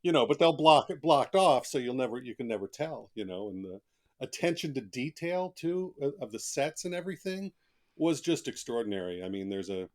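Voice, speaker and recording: A man's voice: mid-range voice, very animated, a little fast. A man speaking as an author guest on a podcast, telling stories in a casual, colloquial and emphatic way. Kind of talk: casual podcast interview, anecdotal storytelling.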